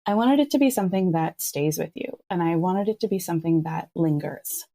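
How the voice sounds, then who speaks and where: A woman's voice: fairly low pitch, fairly quick, mellow. A woman speaking as a podcast co-creator, thoughtful and earnest in a reflective discussion.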